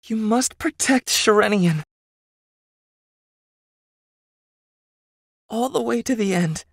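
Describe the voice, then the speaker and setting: A woman voicing a youthful royal in a video game, composed and deliberate, with a breathy voice. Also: medium pitch, no room sound, studio quality. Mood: pleading, solemn. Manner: earnest, deliberate. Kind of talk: dramatic game voice acting.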